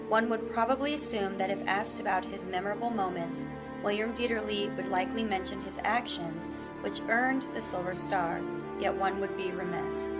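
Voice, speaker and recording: A woman narrating a documentary from a script. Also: medium pitch.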